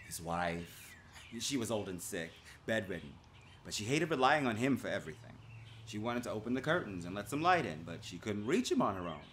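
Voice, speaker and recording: A man's voice: lowish voice, very animated, a little fast, a bit bright, a little hiss or hum. A man playing a forthright, gossipy neighbor who dramatically recounts a grim local tragedy in a horror story. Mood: grim, dramatic.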